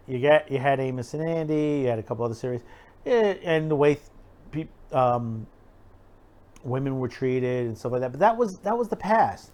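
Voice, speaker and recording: A man hosting an online show, giving casual opinion commentary straight to viewers in a halting way, often repeating his phrases. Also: mid-range voice. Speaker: YouTube show host.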